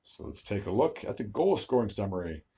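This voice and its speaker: A young man reads through hockey scores in a casual solo recap, his voice a little low and husky from a long run of recording. He trips over player names and leans on "uh" between stats, then pushes on through the summary. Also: sing-song, brisk, mellow. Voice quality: slightly raspy, tired voice. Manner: stumbles over player names, frequent "uh" fillers. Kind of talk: solo sports recap video.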